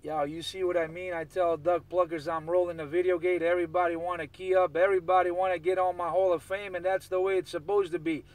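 A man's voice: high-pitched, level tone, fast, hardly any pauses, noisy background. A man talking casually in CB radio slang, repeating catchphrases as he operates and records. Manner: repetitive, chant-like catchphrases.

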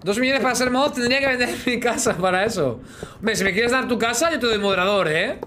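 A man's voice: very high-pitched, expressive, fast, pushed and bright, slight background noise. A man in his thirties with a Spanish accent from Spain, chatting and joking with viewers on a live game stream, humming sound effects while he plays, on a headset in a small room. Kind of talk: casual live gaming chat.

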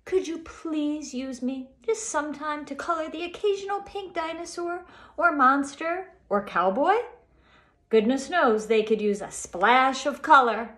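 Woman, high animated voice, sassy indignant storybook crayon, roomy echoing recording. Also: unhurried. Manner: sassy, emphatic. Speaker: school staff member reading aloud. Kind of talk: dramatic children's storybook reading. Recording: roomy home video echo.

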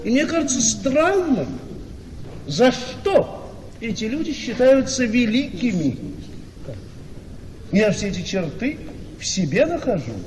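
An actor playing a vain, gullible gentleman in a radio comedy, speaking with pompous, earnest self-importance. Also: very high-pitched, sing-song, unhurried, long pauses, heavily acted. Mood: self-important. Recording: noisy reverberant hall, distant from mic.

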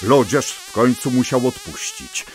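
A man narrating a scripted children's tale as a theatrical, expressive storyteller. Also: mid-range voice, measured pace. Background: music.

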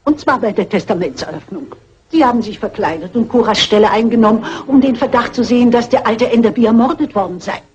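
An actress playing a shrewd, composed elderly spinster detective, deliberate and dryly polite, in a dubbed crime film. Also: female voice, fairly high pitch, a little mellow, natural voice. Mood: accusing, composed. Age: older. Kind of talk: 1960s crime film dub. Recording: muffled old film soundtrack with music.

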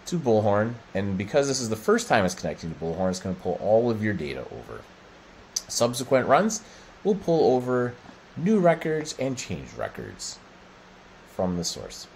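A middle-aged American man presenting a software walkthrough, explaining step by step in short, halting phrases. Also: lowish voice, a little hiss or hum, poor audio. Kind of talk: screen-share product walkthrough.

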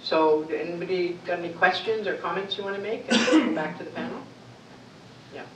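A woman moderating a panel discussion, brisk and plain-spoken as she invites questions from the audience. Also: fairly low pitch, noisy background. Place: university lecture room. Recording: echoey hall from far off.

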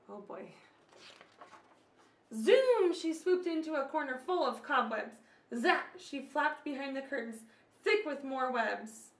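Woman in her 30s doing kids story time, expressive, really high voice, bit of room echo. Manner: expressive, addressing children with questions and asides. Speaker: children's storyteller. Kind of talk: picture-book read-aloud for children. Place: small room at home, indoors. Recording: slightly echoey room.

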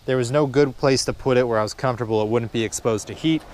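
Clear-voiced man, dryly sarcastic and casual. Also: medium pitch, fast talker, a little mellow. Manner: sarcastic, dry humour, casual swearing. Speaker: vlogger doing a car installation. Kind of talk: casual hands-on vlog commentary. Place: in and around a parked car in a driveway.

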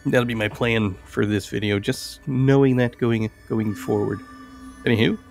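Gravelly man doing casual game commentary. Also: medium pitch, steady tone, a little slow. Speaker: gaming video host. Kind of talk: casual let's-play commentary, reading game dialogue aloud. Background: game music.

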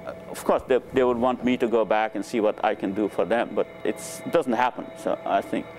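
An older man from Nepal, a native Nepali speaker, recounting his life in a relaxed, hesitant way with many fillers, on a lapel mic in a lecture room. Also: on the low side, a little fast, a little hiss or hum, poor audio. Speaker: interviewed scientist. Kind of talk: relaxed conversational storytelling. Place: lecture room with a small audience.

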